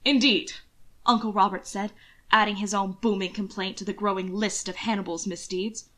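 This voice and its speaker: A woman reading a scripted story aloud as an expressive audiobook narrator. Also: medium pitch, fairly quick. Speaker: audiobook narrator of fan fiction.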